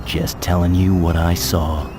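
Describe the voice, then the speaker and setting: Tough getaway driver in a game, deep n laconic, music behind. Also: male voice, little pitch movement, measured pace. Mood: cool, guarded. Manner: laconic, understated. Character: terse, tough undercover wheelman, cool and guarded. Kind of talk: dramatic video game cutscene acting.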